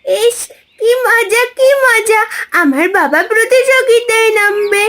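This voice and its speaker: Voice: very high-pitched, a little slow, pushed and bright, full character voice. A performer voicing an eager, childlike little village girl, an admiring daughter in a cartoon. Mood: delighted. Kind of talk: Bengali cartoon story acting.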